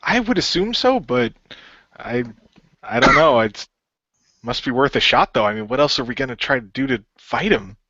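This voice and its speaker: Man, medium pitch, improvised radio play, hesitant dialogue and narration, dull dry recording. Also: big pitch swings, normal speed, sharp tone, no room sound. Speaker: player in fan radio play.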